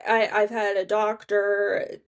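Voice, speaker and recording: Woman, middle-aged host, mid pitch, empathetic, affirming. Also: flat delivery, takes it slowly. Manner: empathetic, frequent affirming interjections. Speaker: podcast host. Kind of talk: conversational interview.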